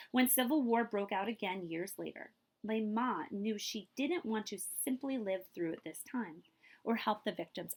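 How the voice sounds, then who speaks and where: Female read-aloud storyteller, highly animated pitch swings, emphatic delivery for a children's picture book. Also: creaky. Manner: expressive, emphatic storytelling with brief asides. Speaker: librarian reading a book aloud.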